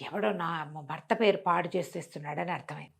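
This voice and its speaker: A woman telling a bedtime story conversationally, in an expressive, explanatory way. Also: fairly low pitch, brisk, gravelly, slightly rough. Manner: expressive, explanatory, acts out characters' dialogue.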